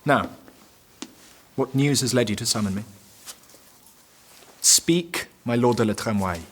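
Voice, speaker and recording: A man's voice: mid-range voice, unhurried, background noise, close to an everyday voice. An actor playing a frustrated medieval French king in an audio drama, formal, imperious and bitter. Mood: cool, commanding. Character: frustrated, indignant young French king in the fifteenth century.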